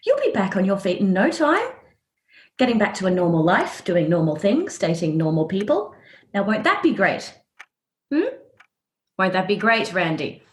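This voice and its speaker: A woman's voice: medium pitch, big pitch swings, normal speed, long pauses, lightly acted. Woman acting a breezy young friend, roomy sound. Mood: breezy, patronising. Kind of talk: radio play acting.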